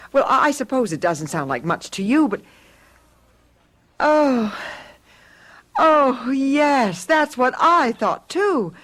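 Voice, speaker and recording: Radio drama wife: high-pitched, worried, halting, with big emotional swings in pitch. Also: female voice, very animated, a bit bright, full character voice. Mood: halting, distressed. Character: anxious, hesitant wife worried about missing neighbours. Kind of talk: old-fashioned radio drama acting.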